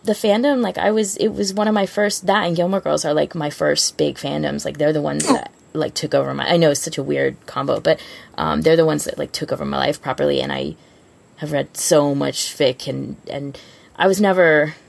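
A woman's voice: low voice, a little fast, creaky. A woman co-hosting a casual fan podcast, talking in an effusive, rambling way. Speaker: podcast co-host.

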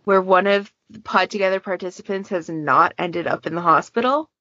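A woman with a slightly low voice talks in a chatty, informal way, as in a casual podcast conversation. The recording is dry and a little dull and lo-fi. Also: brisk, bright, forceful tone, dry recording. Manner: chatty, informal, frequent "like" and quoted asides. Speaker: podcast participant, fan event moderator.

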